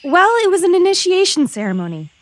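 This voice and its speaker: Woman playing a high-pitched, earnest teenage girl. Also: big pitch swings, fast talker. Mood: nervous, reluctant. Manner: stammering, pleading. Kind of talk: audio drama acting.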